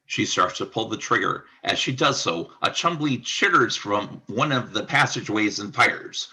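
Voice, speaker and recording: A man's voice: lowish voice, lively intonation, normal speed, pushed and bright, husky. A man narrating a scripted radio drama, reading out the scene descriptions.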